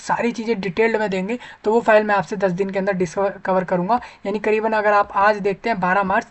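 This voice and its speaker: A man's voice: high-pitched, a bit bright. A man hosting an informal explainer video, talking viewers through a job notice and repeating himself in long spoken lists. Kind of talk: informal explainer video, screen-share commentary.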